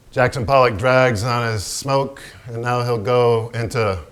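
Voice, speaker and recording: An actor playing a boozy, swaggering, profane genius painter in a theatrical staged reading, declaiming with crude, sardonic bite. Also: male voice, on the low side, a bit bright.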